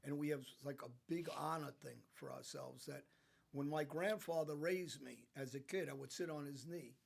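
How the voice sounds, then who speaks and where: American guy, gravelly, kinda high voice, emphatic storytelling, restarts a lot. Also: moderate pace. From United States. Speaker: podcast guest. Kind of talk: casual conversation.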